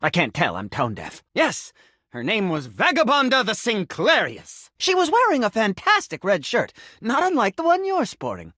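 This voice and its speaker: Melodramatic, high-voiced, wildly swooping talking sink. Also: male voice, dry recording, studio quality, heavily acted. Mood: theatrical, rambling. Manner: florid, theatrical, whimsical, swinging between grandiose and deadpan. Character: melodramatic, eccentric talking sink who believes he is a man. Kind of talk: theatrical video game character acting.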